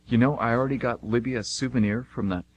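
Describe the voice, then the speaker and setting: A man's voice: medium pitch, steady tone, fast talker, lo-fi sound, lightly acted. A man playing an easygoing, chatty, naive American tourist in an amateur radio play, talking informally. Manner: informal, breezy.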